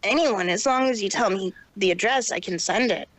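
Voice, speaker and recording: A man's voice: high voice, brisk, a bit bright, no echo, voice pushed up. A man voicing a proud, helpful little boy dragon in a story reading. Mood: proud, eager.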